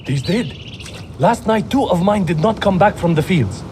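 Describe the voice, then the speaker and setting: A man's voice: sits high, animated, measured pace, noisy background. An actor playing a groggy, self-pitying drunk male slave owner who talks in a slurred, rambling way.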